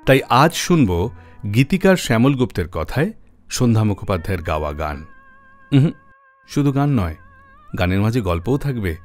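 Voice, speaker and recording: A man with a fairly deep voice hosts a music radio show in a storytelling style. His delivery is very animated, rising and falling widely, with music playing behind him. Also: a little fast, long pauses.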